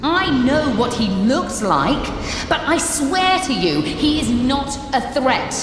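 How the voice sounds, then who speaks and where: A woman's voice: sits high, big pitch swings, takes it slowly, exaggerated voice. An actress playing a driven, intense female scholar in a fantasy video game, searching for her lost family. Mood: urgent, pleading. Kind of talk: dramatic video game dialogue. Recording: echoey hall reverb, music behind.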